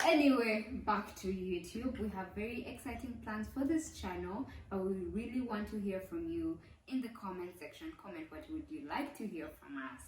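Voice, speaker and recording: A woman co-hosting a casual couple vlog, lively and teasing as she talks with her husband. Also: medium pitch, moderate pace, hardly any pauses, roomy sound, far from the mic, poor audio. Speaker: co-host, wife.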